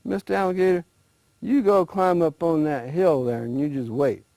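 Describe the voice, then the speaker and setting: A man's voice: a little high, fairly even intonation, normal speed, warm tone, dry recording, voice pushed up, natural voice, low-quality recording. A man voicing a sly male rabbit trickster, talking in a folksy, persuasive way.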